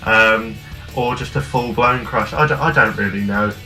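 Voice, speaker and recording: A man's voice: fairly low pitch, moderate pace, pushed and bright, soft background music. A young British man co-hosting a casual comedy review, rambling and self-mocking with frequent restarts. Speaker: co-host of a review show.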